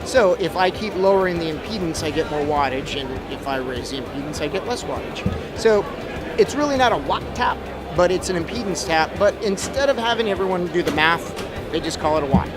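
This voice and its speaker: High-pitched middle-aged man explaining over music. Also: lively intonation, fairly quick, a loud crowd. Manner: casual, step-by-step explaining. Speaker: expert guest, audio trainer. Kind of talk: technical explanation in interview. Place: busy trade show floor.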